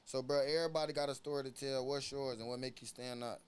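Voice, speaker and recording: A man's voice: mid-range voice, steady tone, fast, clean tone. A man hosting a podcast interview, hype and slangy, tacking "bro" onto everything. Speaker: podcast host. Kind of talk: casual interview chat.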